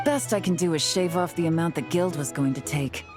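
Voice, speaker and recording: A woman playing a composed, shrewd young captain in a video game, speaking in a cool, measured, businesslike way. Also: lowish voice, over music, lightly acted.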